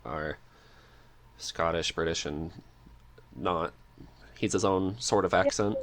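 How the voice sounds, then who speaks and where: Low-voiced man in casual interview chat. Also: unhurried, fairly bright tone. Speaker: podcast guest, script writer and amateur voice actor.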